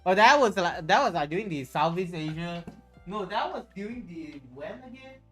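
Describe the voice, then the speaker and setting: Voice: medium pitch, expressive, moderate pace. A livestreamer with a Malaysian accent, chatting casually in a rambling way and often restarting phrases. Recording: music playing behind the voice. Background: background music.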